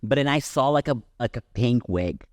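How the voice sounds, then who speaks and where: A man with a Swiss accent chats casually on a podcast and turns to the viewers to tell his stories. His voice sits at an average pitch, and the recording is close and dry. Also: lively intonation, normal speed, dry recording. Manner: chatty, storytelling, addresses viewers as you guys. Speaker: drag queen podcast co-host. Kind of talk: casual chat reviewing a show.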